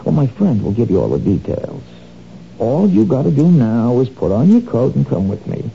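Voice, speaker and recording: A man's voice: mid-range voice, big pitch swings, normal speed, vocal fry. An actor playing a sly, menacing male crook who wheedles and threatens, in a 1970s radio drama. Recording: dull lo-fi old radio recording, faint noise.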